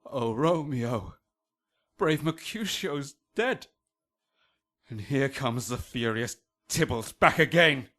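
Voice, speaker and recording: An actor playing an anxious, sensible young peacemaker in a Shakespearean radio drama, measured and pleading. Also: male voice, mid-range voice, expressive, pushed and bright. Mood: urgent.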